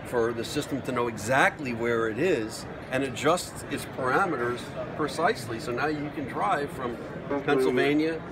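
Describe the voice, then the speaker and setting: A man's voice: mid-range voice, normal speed. A middle-aged man chatting enthusiastically as he presents gear and asks questions in a casual product demo. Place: trade show booth, indoors. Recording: noisy trade show floor, distant mic.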